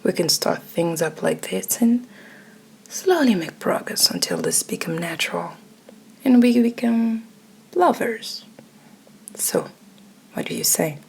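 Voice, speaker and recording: A woman voicing a dominant, flirty, possessive male CEO in a breathy, often whispered, slow and smug manner for an ASMR romance roleplay. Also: medium pitch, animated, darkish tone, slight background noise. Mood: flirty, soothing.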